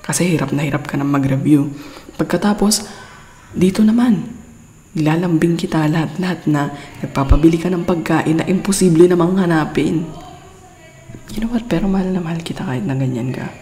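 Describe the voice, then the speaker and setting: A woman's voice: low voice, a little fast, long pauses, mellow. A woman with a Filipino accent performing an intimate ASMR roleplay as an exasperated but doting girlfriend, scolding playfully and coaxing tenderly. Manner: playful scolding mixed with tender coaxing, code-switching into English. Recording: echoey room, long reverb, faint background noise.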